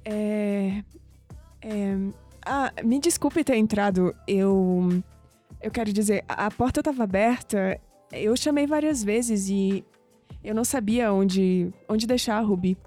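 An actress playing a young, proud, skeptical woman in an audio drama, with a Brazilian accent and an expressive delivery that turns hesitant when flustered. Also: mid-range voice, takes it slowly, faint music behind, natural voice. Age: young adult.

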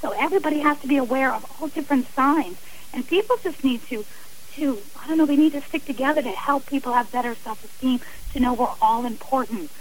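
A woman with a fairly high voice talks as a guest on a radio interview. She is earnest and emphatic, and she often repeats phrases to drive a point home. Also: fast talker, poor audio.

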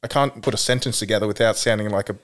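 A young man with an Australian accent, telling stories in relaxed podcast chat, full of fillers like "you know". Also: on the low side, rapid-fire. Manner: relaxed storytelling with many fillers. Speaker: podcast guest.

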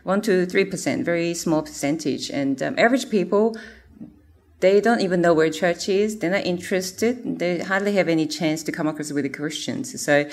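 A woman presenting her mission work, speaking earnestly with many pauses and fillers. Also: fairly low pitch.

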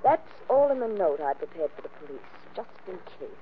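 Husky, wary wife in radio drama. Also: female voice, lowish voice, sing-song, a little fast. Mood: wary, bitter. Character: wary, bitter scorned wife. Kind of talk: 1940s radio drama acting. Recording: muffled old radio recording.

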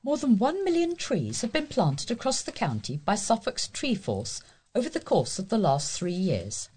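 Husky woman reading local news. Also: medium pitch, huge pitch swings, moderate pace, a little mellow. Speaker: volunteer newsreader. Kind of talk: scripted local news reading for a talking newspaper.

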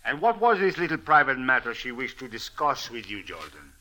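Stern probing police captain, very bright forceful voice, formal diction, vintage radio drama. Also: male voice, medium pitch, very animated, brisk. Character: stern, skeptical Cairo police captain interrogating suspects. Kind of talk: 1940s radio drama acting.

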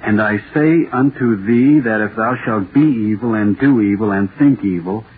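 An actor playing a haunted horror playwright who stammers and repeats himself, in 1930s radio drama style. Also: male voice, lowish voice, normal speed, husky, narrow, tinny audio. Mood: dramatic recitation. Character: terrified radio playwright haunted by his own monster. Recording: scratchy, noisy 1930s radio recording.

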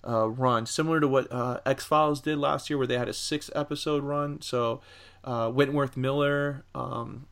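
A man co-hosting a casual entertainment news podcast, speaking in a measured, halting way with pauses between phrases. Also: mid-range voice, fairly even intonation, fairly bright tone. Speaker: podcast co-host.

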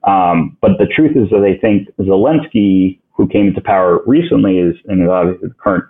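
A man from Ukraine whose first language is Russian, a podcast guest answering in a halting way that thinks aloud with many restarts, heard over a phone-line recording. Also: sits low. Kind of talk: casual interview answers. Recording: tinny phone-line sound.

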